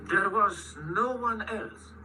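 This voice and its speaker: A man's voice: high voice, takes it slowly, pushed and bright, full character voice. A male actor playing a grave, questioning priest with a solemn delivery in a radio drama. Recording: distant radio playback with music behind.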